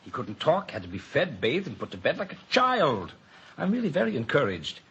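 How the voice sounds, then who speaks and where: An actor playing a curious, optimistic hospital doctor in 1940s radio drama style. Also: male voice, a little high, huge pitch swings, a little fast, pushed and bright, a little hiss or hum, exaggerated voice. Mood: amazed, hopeful. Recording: dull old radio recording, faint music.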